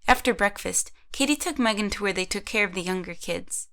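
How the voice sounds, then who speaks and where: A woman's voice: mid-range voice, brisk, fairly bright tone. A woman reading scripted narration for an audio drama, telling the story in the third person.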